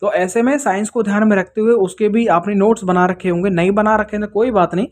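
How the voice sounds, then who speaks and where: Exam prep teacher type, medium pitch, explaining stuff informally to students, keeps asking little check-in questions. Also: fairly even intonation, fast talker, darkish tone. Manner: didactic, checking in with rhetorical questions. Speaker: exam-prep video presenter.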